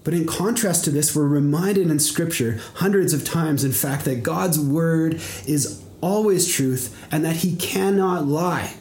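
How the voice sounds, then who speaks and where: Young canadian guy preaching, earnest, kinda high voice, echoey. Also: a little mellow. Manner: earnest, measured preaching with frequent word repeats. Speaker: pastor. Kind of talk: sermon. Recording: echoey room.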